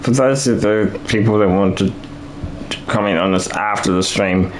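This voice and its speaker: A man's voice: fairly low pitch, moderate pace, slight background noise, poor audio. A man live-streaming a building game, rambling and thinking aloud with lots of restarts while he is losing his voice.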